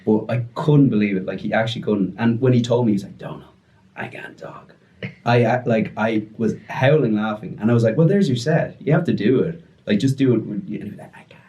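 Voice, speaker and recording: A young man telling a story as a podcast guest, joking and rambling with quick asides. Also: fairly low pitch, dark tone, dull audio. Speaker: podcast guest, music producer and DJ. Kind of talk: casual storytelling chat.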